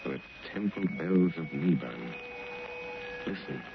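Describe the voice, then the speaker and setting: An actor playing a suave, mysterious man with secret powers, speaking gravely and portentously in 1930s radio mystery style. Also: rumbling low, lively intonation, slow pace, heavily acted. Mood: solemn, mysterious. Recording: hissy, muffled old radio recording.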